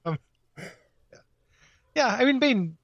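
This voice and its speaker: A man's voice: very high voice, lively intonation, takes it slowly, no room sound, highs cut off. A man hosting a casual game podcast, rambling with lots of fillers and frequent laughs. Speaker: podcast host.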